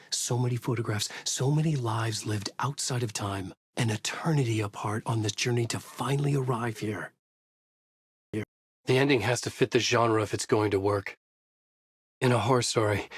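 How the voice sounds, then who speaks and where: An actor playing a tormented thriller writer trapped in a horror story in a video game, with a grave, introspective, intense delivery and a husky voice. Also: male voice, lowish voice, no room sound, clean studio sound. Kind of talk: brooding dramatic game dialogue and noir monologue.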